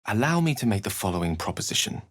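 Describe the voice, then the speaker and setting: A man's voice: fairly low pitch, very animated, fast talker, no room sound, studio quality. A man acting an eloquent, cultured wizard in a video game, earnest and wry, with articulate, ornate phrasing.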